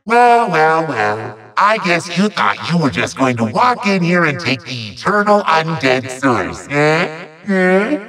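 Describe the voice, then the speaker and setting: A man voicing a bombastic, petulant little evil sorcerer from a video game, ranting and gloating in hammy, drawn-out threats with a gravelly, sharp voice. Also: a little high, big pitch swings, recorded from a distance, exaggerated voice. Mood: menacing, smug. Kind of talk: theatrical video game villain monologue.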